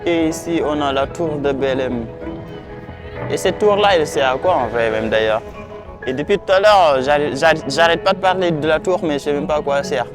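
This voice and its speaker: A man's voice: fairly high pitch, normal speed, music in the background. A young man chatting casually in a vlog, slangy and rambling, talking to his viewers as buddies while filming himself outdoors on a handheld camera. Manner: slangy, rambling, talks to viewers as "les gars". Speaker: vlogger advising students. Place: outdoors by a waterfront landmark at sunset.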